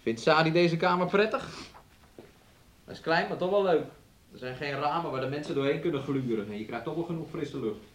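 A man's voice: fairly high pitch, brisk, slight background noise, natural voice. An actor in a Dutch radio play playing a controlling, possessive man who coaxes and needles with repeated questions. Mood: coaxing, controlling. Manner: coaxing, needling, repetitive questions, refers to himself in third person. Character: possessive, controlling man keeping his sick fiancée hidden away.

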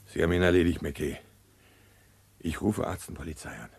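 An actor playing a gruff, level-headed neighbour giving witness in a German crime radio play, blunt and deliberate. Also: male voice, deep voice, steady tone, no room sound, heavily acted. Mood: gruff, urgent.

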